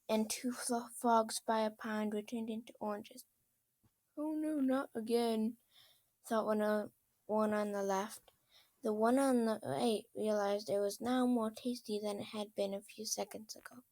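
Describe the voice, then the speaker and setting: A woman narrating a story as an amateur reader, halting and often stumbling over words. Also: fairly high pitch, a little mellow, no room sound. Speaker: fan fiction reader.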